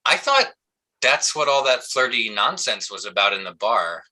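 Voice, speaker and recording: Man playing gruff weary cop, very bright hard-edged voice, blunt, colloquial, sardonic, stage play reading. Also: mid-range voice, sing-song, moderate pace. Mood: apologetic.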